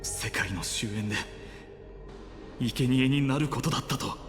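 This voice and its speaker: A man's voice: medium pitch, brisk, in a large hall, over music, lightly acted. A man playing a defiant, embittered young hero in a game, speaking with solemn intensity. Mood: bitter. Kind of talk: Japanese anime-style game voice acting.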